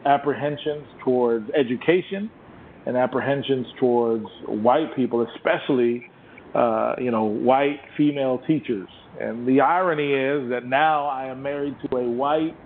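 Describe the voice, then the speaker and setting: A man from Puerto Rico whose first language is Spanish, hosting an interview and speaking haltingly, often repeating his words. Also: medium pitch. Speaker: host. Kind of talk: interview conversation. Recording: muffled phone line, faint hiss.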